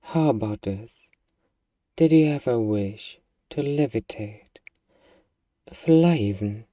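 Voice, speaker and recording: A man's voice: mid-range voice, lively intonation, slow, mellow, no room sound, far from the mic. A man playing a small, eager demon in a comic ASMR roleplay, boastful and self-important yet easily flustered and hesitant. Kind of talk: ASMR fantasy comedy roleplay addressed to listener.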